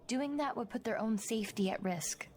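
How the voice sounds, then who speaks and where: A woman's voice: fairly high pitch, moderate pace, a little mellow, slight background noise, close to an everyday voice. An actress playing a composed, stubborn young woman journalist in naturalistic audio drama dialogue. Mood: composed, guarded.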